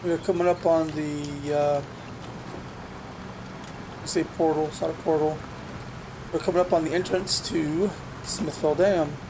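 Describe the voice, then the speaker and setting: A man talks loosely through his gameplay, circling back and restarting his sentences as he goes. His voice sits a little high for a man. He is recorded at home on a USB desk mic, and the game audio is clearly audible under him. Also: fairly even intonation, a little slow, long pauses. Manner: rambling, thinks aloud with restarts. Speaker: gaming channel host. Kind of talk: casual gameplay commentary. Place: home computer setup. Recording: noisy game sound behind voice. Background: truck game sounds.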